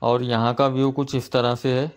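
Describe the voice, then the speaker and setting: A man's voice: mid-range voice, little pitch movement, normal speed. A man narrating a casual travel vlog while riding a motorbike, pointing out the sights to his viewers like a tour guide. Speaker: motovlogger. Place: outdoors on a motorbike on a hill road. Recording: loud road and wind noise.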